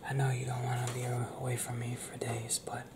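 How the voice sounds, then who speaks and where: Young guy doing asmr werewolf boyfriend thing, slow n hushed, halting, echoey room w/ noticeable noise. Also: mid-range voice, monotone. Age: young adult. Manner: hushed, often whispered, halting, intimate. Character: young male werewolf confiding in his partner, restless, tender. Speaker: ASMR roleplay performer. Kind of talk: ASMR roleplay, improvised intimate acting. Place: small indoor room. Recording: roomy echo, clear background noise.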